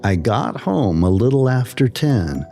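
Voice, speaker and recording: Guy reading a creepy story slow, voice moving up and down a lot, music under it. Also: medium pitch, very animated, creaky, warm tone. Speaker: horror story narrator. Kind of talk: slow-burn scripted story narration.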